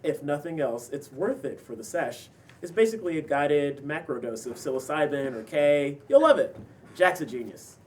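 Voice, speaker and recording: A man's voice: fairly high pitch, animated, moderate pace, lightly acted. A man reading the part of a sardonic housemate at a table read, recorded at a distance. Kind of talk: table read of a pilot script. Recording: distant mic, faint room noise.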